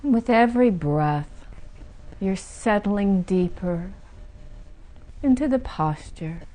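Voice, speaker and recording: A woman guiding a meditation, giving soothing, gentle instructions with unhurried pauses between phrases. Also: mid-range voice, lively intonation, low-quality recording. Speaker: meditation teacher guiding a session. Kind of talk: guided meditation.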